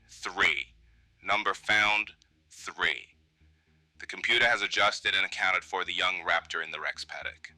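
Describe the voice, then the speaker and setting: A man playing a pragmatic, harried computer technician in a horror film, explaining things in a measured, slightly defensive way. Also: sits low, pushed and bright, no room sound, exaggerated voice, low-quality recording. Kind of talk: found-footage horror film acting.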